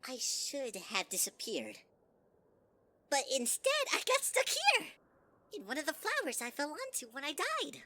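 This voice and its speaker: Woman doing a sickly sweet evil flower guy, teasing, super high and sharp, voice jumps all over. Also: wildly swooping pitch, a little slow, full character voice. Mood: sugary. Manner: syrupy, teasing, swings high and low. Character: sweet-talking, sinister male flower. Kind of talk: fan audio drama.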